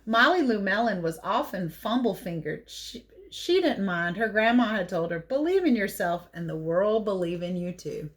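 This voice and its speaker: A woman with a mid-pitched voice reads a picture book to children as their teacher. Her delivery swings widely in pitch and is expressive and playful. She slips easily between storytelling and chatty remarks on the pictures. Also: moderate pace. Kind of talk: animated read-aloud storytelling with casual asides.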